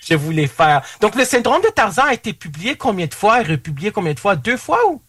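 A man's voice: high-pitched, big pitch swings, a little fast, fairly bright tone, breathy edge. Agreeable male host. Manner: agreeable, backchannels a lot. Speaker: interviewer host. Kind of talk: casual interview chat.

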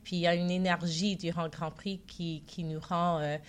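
Low-voiced woman, French not her first language. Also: little pitch movement, normal speed. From Montreal. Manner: confident, promotional, occasional hesitations. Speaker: founder and CEO, guest. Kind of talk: radio interview.

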